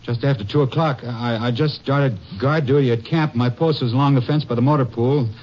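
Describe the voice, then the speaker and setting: A man's voice: mid-range voice, brisk, darkish tone, close to an everyday voice. An actor playing a nervous, evasive young male soldier who stammers under questioning in a 1950s radio drama. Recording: muffled old radio broadcast with faint hiss.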